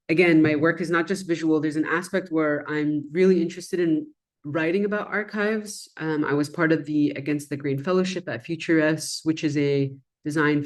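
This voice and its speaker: A woman whose first language is Arabic, giving a conversational presentation on her design research while walking through slides. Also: low-pitched. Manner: reflective and conversational, with frequent "um" and "kind of".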